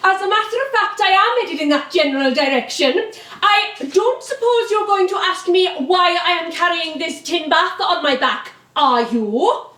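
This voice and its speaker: A woman's voice: very high-pitched, animated, normal speed, fairly bright tone. An actress playing a chatty, cheeky woman hauling a tin bath in a comic radio sketch. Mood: chirpy, chatty. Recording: echoey theatre stage.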